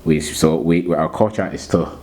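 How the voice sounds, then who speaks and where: Very deep-voiced Ghanaian man. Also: dark tone. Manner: unhurried, repeats words and restarts, chuckles at himself. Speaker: channel host. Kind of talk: casual talk to camera.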